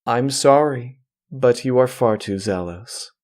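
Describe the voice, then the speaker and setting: A man's voice: mid-range voice, takes it slowly, a little mellow, natural voice. A man voicing a lazy, petulant female pony ruler with self-important royal phrasing in a comic storybook. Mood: apologetic.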